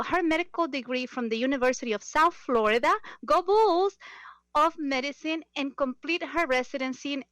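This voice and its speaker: Middle aged lady hosting an interview, voice on the higher side, super dry sound. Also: fairly bright tone, dry recording. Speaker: talk show host.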